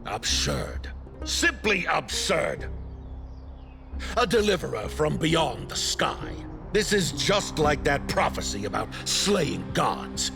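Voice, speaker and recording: A scornful game warrior, gravelly and very slow. He is bitter and defiant, with dramatic swings of pitch and music behind him. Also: heavily acted. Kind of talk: scripted video game voice acting.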